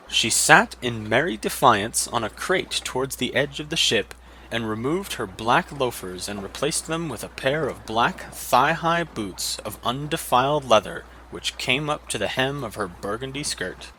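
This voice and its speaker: A man's voice: medium pitch, pushed and bright, a little hiss or hum, rough recording. A man reading a scripted fantasy adventure story as a dramatic narrator.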